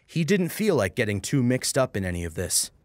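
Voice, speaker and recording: A man's voice: medium pitch, sing-song, a little fast, a little hiss or hum. A man narrating a scripted story as a dramatic audiobook reader. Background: music.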